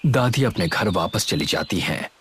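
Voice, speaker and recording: A man narrating a scripted horror story in a measured, dramatic way. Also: sits low, expressive, slight background noise.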